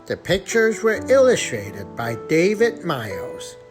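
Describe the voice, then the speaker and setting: Woman voicing a timid, low-pitched storytelling monkey. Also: over music. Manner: slow, deliberate, expressive delivery for children. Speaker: storyteller and co-host. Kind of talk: children's puppet show and storybook reading.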